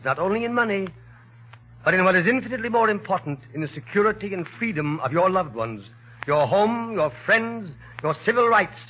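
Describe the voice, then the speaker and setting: A muffled vintage radio broadcast of a man with a raised, husky voice. He declaims a patriotic appeal with forceful emphasis, his pitch moving dramatically. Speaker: war bond appeal speaker. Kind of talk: 1940s patriotic radio oratory.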